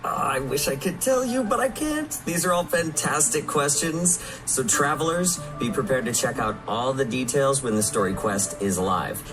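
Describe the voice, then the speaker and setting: Animated man chatting over music. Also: medium pitch, normal speed, few pauses, low-quality recording. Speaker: voice actor, panel guest. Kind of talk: playful promotional panel chat. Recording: music under a played stream.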